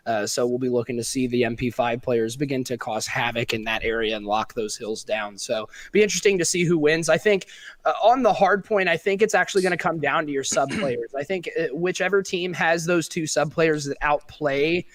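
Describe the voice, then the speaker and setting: A man's voice: a little high, a little fast, fairly bright tone, no room sound. Chatty male esports caster, dry sound. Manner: chatty, analytical, with many fillers. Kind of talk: casual esports commentary.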